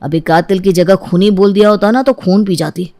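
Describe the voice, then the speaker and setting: A woman's voice: mid-range voice, steady tone, moderate pace, mellow, close to an everyday voice. Woman voicing a jealous, sarcastic young husband. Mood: muttering to himself. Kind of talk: animated story dialogue in Hindi.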